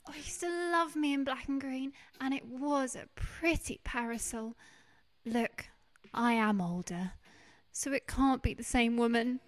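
A woman's voice: high-pitched, unhurried. A woman playing a fond, tender older wife in a radio play. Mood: fond, hopeful. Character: gentle, fond, gently doubting older wife.

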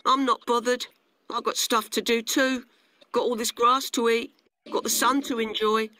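A man voicing a carefree, boastful grasshopper in a children's storybook, breezy, matey and excitable. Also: very high-pitched, normal speed, a little hiss or hum, pitched above the performer's own voice. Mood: defiant. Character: carefree, boastful young male grasshopper, cheeky and chatty.

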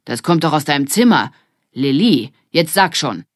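A woman's voice: low-pitched, lively intonation, normal speed, no echo, clean studio sound. A woman voicing a stern, irritated father in a children's audiobook, firm and scolding. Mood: demanding.

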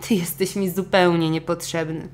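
A woman's voice: on the low side, little pitch movement, moderate pace, a little hiss or hum, natural voice. A woman voicing a haughty, demanding fisherman's wife turned queen in a children's storybook, imperious and scornful.

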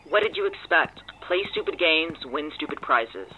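A man's voice: fairly high pitch, expressive, normal speed, highs cut off, a little hiss or hum, lightly acted. A man playing a blunt, sarcastic, irritable friend in an audio drama. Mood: irritated, sarcastic. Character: exasperated, sarcastic but helpful male friend. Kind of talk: naturalistic scripted audio drama dialogue.

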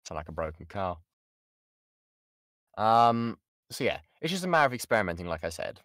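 A British man with a fairly low voice teaches informally into a close, dry mic. His pitch moves around a lot as he chats, jokes and breaks off mid-thought. It feels like a voice actor casually sharing tricks of the trade. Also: big pitch swings, normal speed, fairly bright tone, no room sound. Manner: chatty, jokey, self-interrupting. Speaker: voice actor giving a talk on creature voices. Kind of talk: informal lesson with audience questions. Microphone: close mic on an online voice call.

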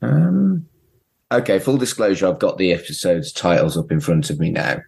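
A man's voice: sits low, normal speed, gravelly, darkish tone. A man hosting a podcast, chatting casually and bantering about television. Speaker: podcast host.

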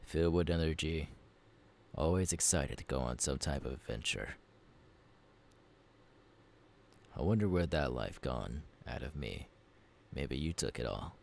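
A man voicing a gruff, easygoing pirate captain in an ASMR roleplay, gravelly and unhurried, talking intimately to the listener. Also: a bass voice, expressive, darkish tone, exaggerated voice. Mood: wistful, easygoing. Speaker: voice actor.

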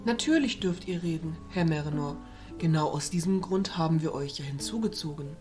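Low-voiced, composed fairy leader, played by a woman. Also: music in the background, natural voice. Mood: composed, solemn. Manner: formal, measured. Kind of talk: amateur audio drama acting.

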